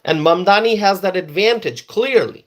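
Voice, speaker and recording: A man's voice: sits high, lively intonation, normal speed. A man whose first language is Bengali, giving an emphatic political opinion monologue and repeating words for stress. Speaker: political commentator.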